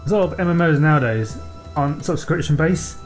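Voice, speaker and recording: A man hosting a let's play, chatting casually in a halting, unscripted way. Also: a little high, animated, soft background music. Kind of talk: casual gameplay commentary.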